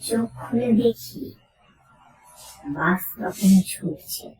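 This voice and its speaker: A woman narrating a first-person horror story in a suspenseful, theatrical way with dramatic pauses. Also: on the high side, a little mellow. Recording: roomy echo, distant from mic.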